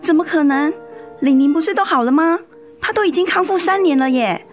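一位女演员在广播剧中饰演十七岁、爱赌气又叛逆的姐姐。. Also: female voice, sits high, brisk, soft background music, natural voice. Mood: worried. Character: sulky, defiant seventeen-year-old girl, elder sister. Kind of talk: radio drama acting.

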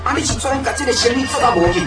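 A woman playing a stern, angry boss in a radio drama, berating rapidly in a Taiwanese accent. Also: a little high, lightly acted. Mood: angry scolding. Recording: music behind.

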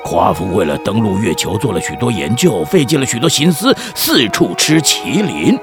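A man's voice: on the low side, sing-song, normal speed. A man with a Taiwanese accent playing a jolly, chatty middle-aged village chief who tells tall tales in a theatrical, teasing way for a children's radio drama. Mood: dramatic storytelling. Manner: theatrical, teasing, stammering at times. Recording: music bed behind the voice.

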